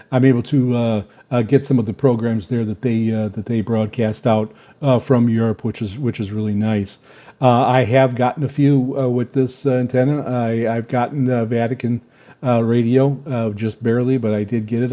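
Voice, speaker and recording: A man casually reviewing radio gear on his channel, speaking off the cuff in a halting, repetitive way with frequent stammers and filled pauses. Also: mid-range voice, little pitch movement, mellow. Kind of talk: casual unscripted product review.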